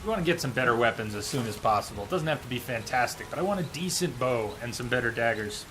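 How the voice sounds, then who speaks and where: A man's voice: mid-range voice, expressive, fast. A man streaming a video game, thinking aloud in casual, musing commentary. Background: game music and rain.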